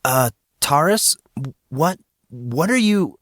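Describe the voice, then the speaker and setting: A flustered teenage boy, played by a male narrator, awkward and exasperated in an audio story. He leans on dry sarcasm, with lively swings in pitch and a close, dry sound. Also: slow pace, no room sound.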